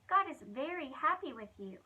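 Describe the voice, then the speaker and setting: A woman's voice: high voice, lively intonation, normal speed, phone-quality sound. A woman voicing a reassuring, solemn and glad male angel messenger in a storybook, speaking in an emphatic, proclaiming way.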